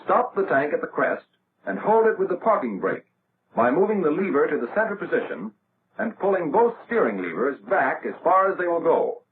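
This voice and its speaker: An American man narrating a 1940s military training film in a measured, instructional way, as a scripted voice-over. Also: a little high. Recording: tinny, band-limited, noisy old film soundtrack.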